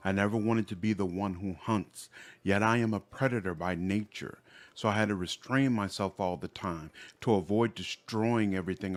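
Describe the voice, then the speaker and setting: A man voicing a reformed female demon from a fantasy game, earnest, gentle and measured. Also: on the low side, lightly acted.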